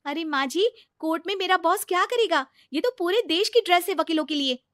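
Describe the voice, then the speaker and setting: A woman's voice: extremely high pitch, lively intonation, a little fast, fairly bright tone, no echo, clean studio sound, pitched above the performer's own voice. A woman playing a young, confident lawyer daughter-in-law in a comedy story, polite but exasperated and argumentative.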